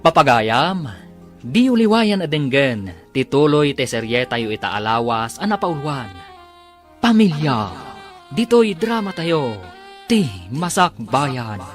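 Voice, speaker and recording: Male radio drama narrator, lively and theatrical, scripted recap delivery with faint music underneath. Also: on the high side, slow pace.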